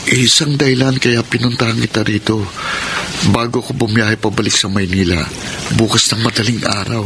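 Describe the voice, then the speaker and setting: A man's voice: on the low side, steady tone, moderate pace, natural voice. Actor as a conflicted young Filipino man, noisy backdrop. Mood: uneasy. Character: conflicted young son and boyfriend, evasive, guilt-ridden. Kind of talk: Filipino radio melodrama acting. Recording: noisy radio drama with ambience.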